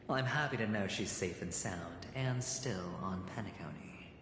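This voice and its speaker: A man's voice: deep voice, a little slow. A man playing a cunning, self-assured gambler in a video game, speaking with wry, theatrical deliberation. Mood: confident, scheming. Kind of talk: dramatic video game dialogue. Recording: echoey hall reverb, background noise.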